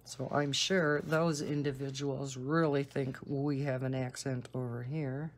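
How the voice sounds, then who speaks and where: A woman with a Northern American accent and a low, soft voice, chatting casually and unhurriedly. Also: flat delivery, a little hiss or hum. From northern United States. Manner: unhurried, deliberately slow talking. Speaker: coloring video host. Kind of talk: casual rambling chat while coloring.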